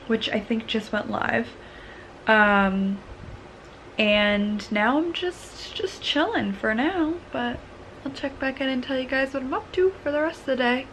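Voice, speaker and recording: Young woman, croaky morning voice, bright higher pitch, relaxed filler-heavy chat, camera at arm's length, faint room hum. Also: rough recording. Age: young adult. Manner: relaxed, chatty, lots of fillers. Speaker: vlogger. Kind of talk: casual vlog chat. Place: bedroom, in bed. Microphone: handheld camera at arm's length.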